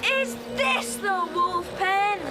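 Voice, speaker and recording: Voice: very high voice, takes it slowly. A performer playing a cheeky, blunt little English boy in a comic radio drama. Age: child. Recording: music behind the voice.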